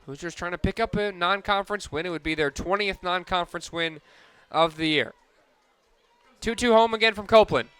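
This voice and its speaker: A man with a fairly high, bright voice calls softball play-by-play for radio. His delivery is crisp and clean, in the style of live sports commentary. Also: fairly quick.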